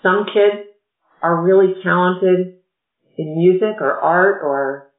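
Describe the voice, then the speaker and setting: Woman consultant on a tinny, echoey line. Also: fairly low pitch. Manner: measured, explanatory. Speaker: educational consultant. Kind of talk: podcast interview.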